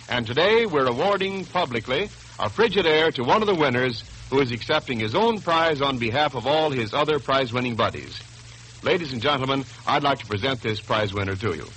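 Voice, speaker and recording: An American male announcer from vintage radio, with a bright, forceful voice of medium pitch. His delivery is formal, emphatic and scripted, with faint noise on the old recording. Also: poor audio. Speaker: radio announcer and sponsor spokesman. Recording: old radio broadcast with hiss.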